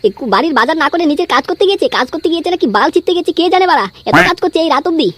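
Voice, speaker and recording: A woman's voice: high-pitched, lively intonation, racing, a bit bright. A woman voicing a crude, hot-tempered young village man in a comic cartoon, grumbling and swearing constantly. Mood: hungry, frustrated. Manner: foul-mouthed grumbling, peppered with swearing. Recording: faint background noise.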